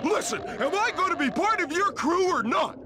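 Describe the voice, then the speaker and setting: A man's voice: extremely high pitch, big pitch swings, normal speed. A man voicing a needy, dim, childlike starfish in a cartoon video game. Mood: eager. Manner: childlike, whiny, eager. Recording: clear background noise.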